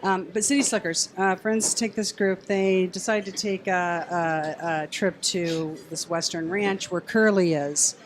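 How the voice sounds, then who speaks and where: Woman with deliberate, teasing delivery. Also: on the low side, fairly even intonation. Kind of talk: casual panel banter.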